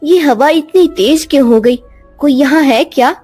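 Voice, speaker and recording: Woman playing an anxious, high-pitched queen. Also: normal speed, darkish tone, soft background music, natural voice. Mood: uneasy. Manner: fearful, pleading. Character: anxious, distressed queen, mother of two princes.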